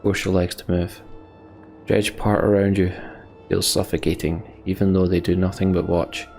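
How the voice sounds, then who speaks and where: A man with a very low voice and a crackly, gravelly edge hosts a let's play. He reads narrative text dramatically and slips into emotional, sweary asides. Music plays softly behind him. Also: little pitch movement, normal speed. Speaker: let's play host reading game text aloud.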